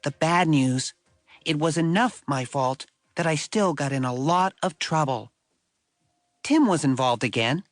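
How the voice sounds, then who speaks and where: A man's voice: fairly high pitch, lively intonation, measured pace, no echo, clean studio sound, faint music behind. A man playing an earnest, bewildered young alien schoolboy, reading a letter aloud in formal, careful phrasing for an audiobook. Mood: troubled.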